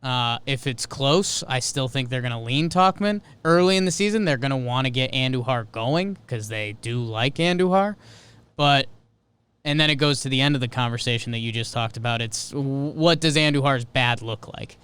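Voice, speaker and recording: A man's voice: medium pitch, animated, moderate pace, a bit bright, clear, resonant tone. A man co-hosting a sports podcast, talking casually and animatedly, arguing his points with stats and rhetorical questions.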